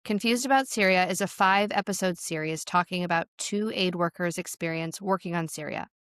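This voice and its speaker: A woman co-hosting a podcast, speaking in a measured, articulate way as she lays out the plan for the series. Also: on the low side, fairly bright tone, clean tone, no room sound, studio quality. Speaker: podcast co-host, aid worker. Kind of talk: scripted podcast introduction.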